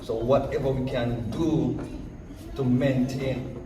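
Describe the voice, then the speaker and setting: A man, a Rastafarian priest, explains slowly and deliberately, weighing each point. He sits away from the mic in an echoey space. Noise runs steadily behind him. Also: mid-range voice, steady tone, recorded from a distance. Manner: measured, explanatory, talks things through deliberately.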